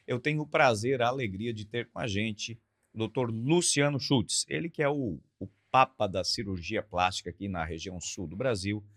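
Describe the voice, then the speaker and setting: Guy hosting a podcast, low voice, hypes up the intro. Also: normal speed. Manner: showy announcer-style opening, then relaxed chat. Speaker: podcast host. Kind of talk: casual podcast chat.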